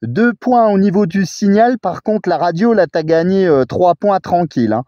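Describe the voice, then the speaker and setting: A man's voice: high voice, animated, normal speed, dark tone, no room sound. A man talking casually as an amateur radio operator during a contact. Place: outdoors at a mountain spot. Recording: dull narrow amateur radio audio.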